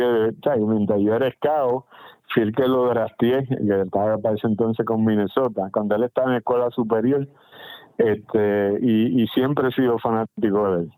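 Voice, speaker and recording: A man's voice: lowish voice, dark tone, no echo, poor audio. A middle-aged man with a Puerto Rican accent, a baseball general manager answering radio interview questions with many restarts and fillers. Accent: Puerto Rican Spanish. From Puerto Rico.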